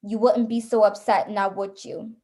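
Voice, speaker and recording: A woman's voice: medium pitch, little pitch movement, moderate pace, mellow, close to an everyday voice. A woman playing a weary, dismissive police desk officer in a radio thriller, patronizing and placating. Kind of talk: suspense radio play acting.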